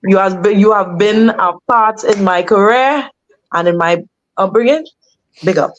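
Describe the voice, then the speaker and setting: Husky-voiced woman guest, animated and laughing. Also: mid-range voice, unhurried, lo-fi sound. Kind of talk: casual chat.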